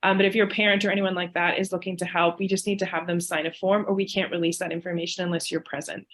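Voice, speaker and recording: A woman's voice: lowish voice, flat delivery, fast. A woman admissions officer losing her voice, giving webinar advice in a chatty, informal way. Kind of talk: webinar presentation. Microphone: video call.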